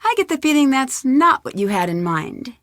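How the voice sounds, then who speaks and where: A curious, caring young female sleuth from a video game. Her voice is fairly high and lively in pitch, with an earnest, questioning delivery, recorded very dry. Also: normal speed, no echo. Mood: teasing. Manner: inquisitive, earnest. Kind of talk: video game character dialogue.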